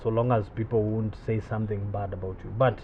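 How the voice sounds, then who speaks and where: A man's voice: lowish voice, steady tone, brisk, breathy edge. Hesitant man on thin phone-like audio. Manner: hesitant, with many restarts. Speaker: podcast host. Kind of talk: casual interview questions.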